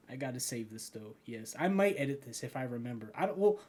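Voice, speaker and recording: A man's voice: medium pitch, expressive, brisk, darkish tone. A young man hosting a casual podcast chat, rambling with lots of fillers and frequent laughter. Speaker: podcast host and editor.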